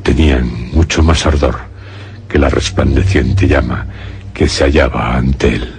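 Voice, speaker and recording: A man with a Castilian Spanish accent narrating a suspense radio drama in a grave, measured storytelling manner. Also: extremely low pitch, steady tone, darkish tone, slightly rough, soft background music.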